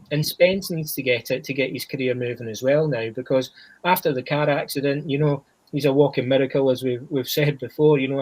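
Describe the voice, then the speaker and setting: A Scottish man talking boxing on a podcast, in a fairly high-pitched voice for a man. He rambles casually and restarts often, dropping "you know" every few words. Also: rough recording. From Scotland. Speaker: boxing podcast panelist named Chris. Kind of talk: casual chat.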